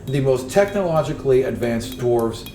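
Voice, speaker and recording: A man's voice: medium pitch, lively intonation, normal speed. A man working as a game master, explaining a tabletop game world and its rules in a conversational, explanatory way. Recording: roomy, distant mic with faint noise.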